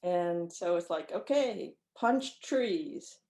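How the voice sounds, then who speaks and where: A woman's voice: on the low side, expressive, slow, dark tone. A woman author chatting casually as an interview guest, often acting out imagined lines of dialogue as she explains. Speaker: author guest discussing own short story. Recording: echoey room sound.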